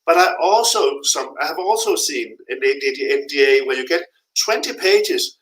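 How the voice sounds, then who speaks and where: A middle-aged man with a bright, forward voice speaks as a guest in a live interview, giving blunt, frank warnings and pressing his points home. The sound rings off the walls of an echoey room. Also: mid-range voice, expressive, unhurried. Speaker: guest, freelance translator.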